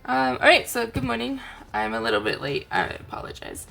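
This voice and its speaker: A tired woman with a raspy voice, chatting casually as a crafting host, rambling gently. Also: a little high, wildly swooping pitch, fairly quick, faint music behind. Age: adult, aunt. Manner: rambling, chatty, soft-spoken. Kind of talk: casual chat to camera.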